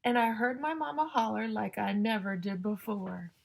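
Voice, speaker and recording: A woman reading a picture book aloud to young children in a sing-song, exaggerated way, pausing to ask and explain like a teacher. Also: medium pitch, steady tone, gravelly. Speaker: children's story-time reader and teacher.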